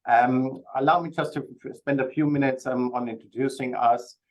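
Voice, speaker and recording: A man's voice: mid-range voice, fairly even intonation. A man with a German accent, a registry committee chair, politely introducing a webinar presentation with small restarts. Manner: polite, slightly halting.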